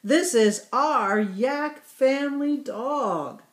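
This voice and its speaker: A woman voicing an excited young male yak who calls out loud yoo-hoos in a children's story. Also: high-pitched, very slow, voice pushed up, full character voice. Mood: cheerful.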